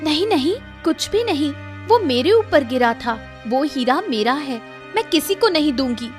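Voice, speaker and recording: A woman's voice: high voice, lively intonation, moderate pace, soft background music. A woman voicing a firm, earnest, plaintive young village woman in a cartoon story. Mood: defiant.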